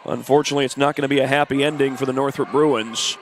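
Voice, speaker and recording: A congested man with the flu giving steady play-by-play of a high school girls' basketball game, adding stats and schedule notes. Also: fast talker, soft background music. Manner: steady, informative play-by-play with stat and schedule asides. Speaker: play-by-play announcer. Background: faint gym ambience, ball bouncing.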